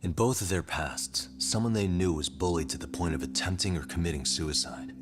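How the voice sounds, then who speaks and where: An actor playing a cool, persistent private detective in an English-dubbed game drama, questioning in a deliberate way. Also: male voice, low-pitched, expressive, music in the background. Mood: probing, calm.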